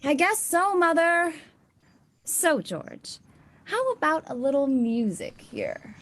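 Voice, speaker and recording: An actress plays a teasing, spirited young woman in a radio drama. Her high voice lilts up and down, drawing words out slowly with a pointed edge. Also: big pitch swings, a bit bright, slight background noise, exaggerated voice. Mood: wry. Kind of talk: old-fashioned radio play acting.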